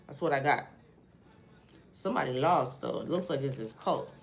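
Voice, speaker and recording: A woman's voice: very deep voice, expressive, normal speed, soft background music. A Haitian-accented woman from Haiti doing a live tarot reading, casual and profane, asking the cards questions aloud as she thinks. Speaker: tarot reader.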